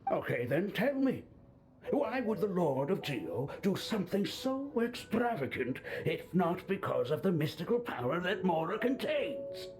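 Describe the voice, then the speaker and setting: An actor playing a pompous, boastful male historian in a game, grandstanding and quick to take offense. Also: high-pitched, very animated, exaggerated voice. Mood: indignant. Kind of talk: video game voice acting.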